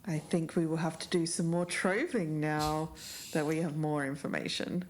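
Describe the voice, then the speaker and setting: An actress as a low-voiced, thoughtful female podcaster. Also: steady tone, normal speed, slight background noise, natural voice. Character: thoughtful female podcast co-host analysing archival notes. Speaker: podcast co-host.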